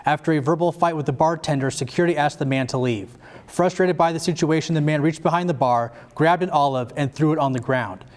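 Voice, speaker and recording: A young American man anchors a student satirical newscast. His voice sits a little high for a man as he reads in a newscast style. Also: fairly quick. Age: young adult.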